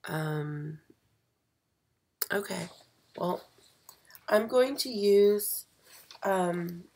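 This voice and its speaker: This is a woman hosting a live craft stream while losing her voice. She speaks slowly and a bit low, with a gravelly fry. She hesitates often and fills the pauses with ums. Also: expressive. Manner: slow, hesitant, many fillers, soft. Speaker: craft channel host. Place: craft table indoors. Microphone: overhead camera, hands only.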